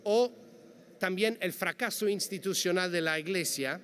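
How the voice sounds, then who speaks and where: A man giving a prepared, measured talk as a panel speaker, reading carefully and quoting passages, speaking Spanish as a to a conference audience. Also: sits high, fairly bright tone. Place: large conference hall. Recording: long hall reverb, faint background noise.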